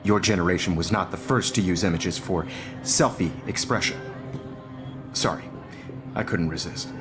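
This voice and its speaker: A man's voice: deep voice, moderate pace, music in the background, natural voice. A man playing a smug villainous teacher. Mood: lecturing. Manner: taunting, mocking, drops into whispers. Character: smug manipulative male photography teacher, a captor. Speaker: photography teacher. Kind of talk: video game voice acting.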